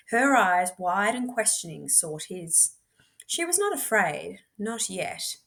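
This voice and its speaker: Woman, mid-pitched voice, expressive read-aloud, measured storytelling, deliberate dramatic pauses. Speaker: teacher reading books aloud.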